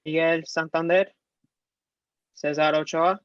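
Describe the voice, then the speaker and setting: Man reading a hostile official, sneering and interrogating, fairly high voice, dry dull lo-fi sound, faint music behind. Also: little pitch movement, unhurried, clean tone, no echo, lightly acted. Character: hostile male Cuban airport official. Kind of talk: play table read.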